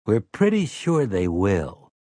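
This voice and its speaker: A man's voice: on the low side, wildly swooping pitch, takes it slowly, warm tone, dry recording, clean studio sound, exaggerated voice. A man voicing a wily, cheerful grown man who talks casually and conspiratorially in an audiobook. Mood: sly, friendly.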